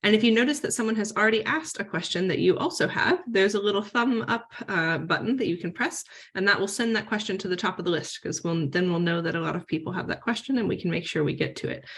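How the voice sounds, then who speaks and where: A woman hosts an online panel in a mid-height voice. She talks in a friendly, organized way, pausing on small fillers as she explains the plan. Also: mid-range voice. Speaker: moderator. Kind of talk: webinar moderating.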